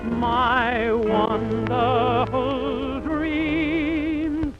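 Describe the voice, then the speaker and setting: Male tenor, very high and drawn-out sentimental 1930s ballad, muffled old radio recording with music. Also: little pitch movement. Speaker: tenor singer on a radio show. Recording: dull vintage 1930s radio broadcast. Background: musical accompaniment.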